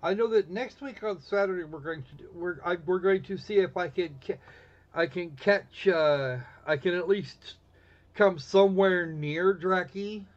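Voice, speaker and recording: A man hosting a live game stream in casual chat, often halting and restarting his phrases. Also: high-pitched, highs cut off.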